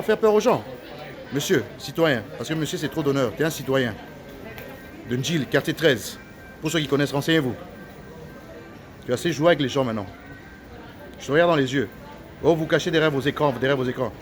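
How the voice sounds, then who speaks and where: A man's voice: a little high, slight background noise. A man whose first language is Lingala, speaking in a heated, preachy and accusatory rant with many hesitations and repeated phrases.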